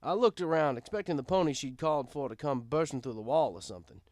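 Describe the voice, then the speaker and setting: A man's voice: a little high, expressive, quick pace, a little mellow, dry recording, studio quality. A man reading a first-person story aloud as narrator, in a conversational, wry storytelling style.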